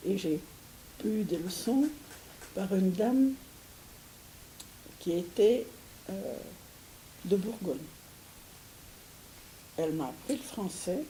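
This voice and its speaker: Older woman from Syria, mellow and hesitant, faint hiss under the recording. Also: mid-range voice, expressive, slow, pauses often, low-quality recording. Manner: hesitant, with frequent restarts and soft laughs. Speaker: interviewee. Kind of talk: recorded interview.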